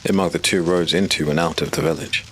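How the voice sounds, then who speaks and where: A man with an exceptionally deep voice narrates a dark fantasy audiobook in a measured, ominous manner. His delivery is scripted and steady. A clear hiss runs under the recording.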